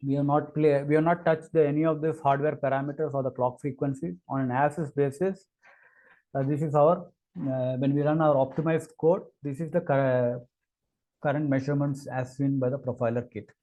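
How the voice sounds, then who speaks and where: A man narrating an unscripted technical demo as an engineer, with halting, hesitant phrasing and repeated words. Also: on the high side, fairly even intonation, dark tone, poor audio. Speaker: engineer presenting a product demo.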